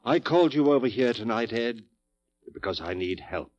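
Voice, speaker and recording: Man playing an intense, animated radio-drama scientist. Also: mid-range voice, moderate pace, dull audio, natural voice. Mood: grim, obsessed. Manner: earnest, intense, emphatic. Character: zealous, stubborn male research scientist, devoted husband. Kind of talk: 1940s radio drama acting. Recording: vintage radio drama recording.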